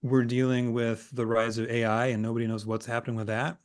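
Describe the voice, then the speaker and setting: Guest, man in his 40s; mid-pitched, earnest, encouraging tone. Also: flat delivery, a little fast. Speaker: guest, editor and agency owner. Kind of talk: casual interview talk.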